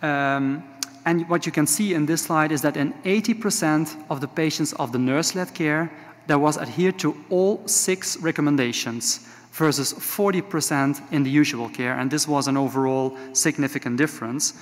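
A man in his forties with a Dutch accent, giving a measured conference talk with frequent filler sounds. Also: a little high. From Netherlands. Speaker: conference speaker. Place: large conference hall. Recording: reverberant conference hall.

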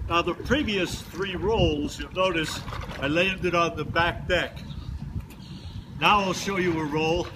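A man in his seventies calmly walks through each kayak roll, unhurried and a little playful. His voice sits slightly high for a man. Wind and lapping water are clearly heard behind him, and the sound comes from a camera some distance away on the water. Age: 70s. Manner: slow, explanatory, gently humorous. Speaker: kayak instructor demonstrating rolls. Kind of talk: casual demonstration narration. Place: outdoors in a kayak on open water.

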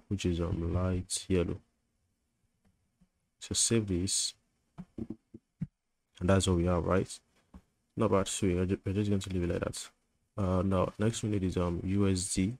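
A man's voice: low-pitched, flat delivery, measured pace, long pauses, a little mellow. A man giving a screen-recorded coding tutorial, thinking aloud as he types, with fillers and self-corrections.

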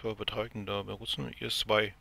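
A man's voice: on the low side, level tone, fairly quick, vocal fry, pushed and bright. A man from the Potsdam area in Germany, chatting casually while gaming. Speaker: gamer in a squad.

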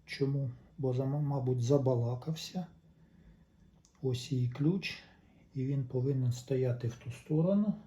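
A man's voice: medium pitch, a little slow, warm tone. A man giving unscripted, step-by-step repair instructions in short, methodical phrases. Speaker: repair tutorial host.

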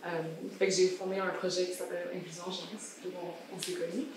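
A woman's voice: on the low side, little pitch movement, a little fast, a crowd in the background. Young woman, Québécois accent, reflective, echoey room, heard from a distance. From Montreal, Quebec. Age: young adult. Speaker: university student, panel guest. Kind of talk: casual panel discussion.

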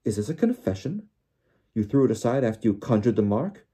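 A man's voice: on the low side, moderate pace, mellow, slightly rough. Man playing a stern, barking wizard official. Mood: stern, accusing. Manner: barking, pompous, accusing. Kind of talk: audiobook character voice.

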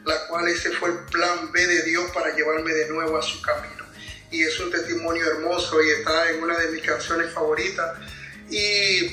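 A man's voice: a little high, pushed and bright. A man in his thirties with a Dominican accent, giving testimony as an interview guest over a video call, often repeating himself as he starts a thought. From Dominican Republic. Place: indoors at home, on a video call. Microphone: tablet video call. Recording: roomy video-call sound with music behind.

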